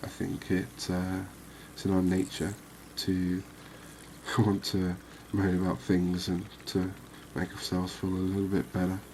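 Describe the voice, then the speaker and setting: Low, even-toned man over background noise. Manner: unhurried, musing, conversational with tag questions. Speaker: gaming video host. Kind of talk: relaxed ASMR game commentary. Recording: clearly noisy background ambience.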